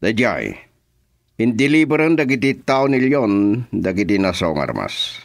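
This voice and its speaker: Guy playing a crime boss, slow and commanding, dry close sound, ilocano radio serial. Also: medium pitch, very animated, gravelly, no echo. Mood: scheming. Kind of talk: Ilocano radio drama acting.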